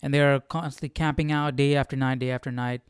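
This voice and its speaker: Smooth-voiced man musing casually, close and dry. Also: mid-range voice, steady tone, brisk, no room sound. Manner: musing, often checks in with rhetorical questions. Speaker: host of a Bible study livestream. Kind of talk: casual conversational scripture commentary.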